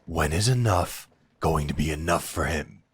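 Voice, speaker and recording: Male actor as blunt, loyal werewolf lieutenant, fantasy audio drama. Very low, raspy voice, sardonic and defiant. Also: expressive, moderate pace, exaggerated voice. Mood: indignant. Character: loyal, blunt, defiant werewolf right-hand man to a duke.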